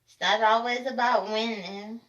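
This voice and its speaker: A woman's voice: a little high, fairly even intonation, a little slow, a bit bright, muffled recording. A woman chatting casually as a companion, commenting on a reading. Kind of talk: casual chat.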